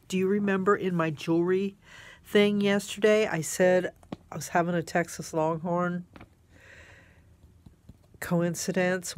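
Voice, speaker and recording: A woman craft vlogger chatting casually and gushing over the items she shows, sounding congested with a snotty nose. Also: lowish voice. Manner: gushing, exclamatory. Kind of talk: casual rambling chat while showing craft items.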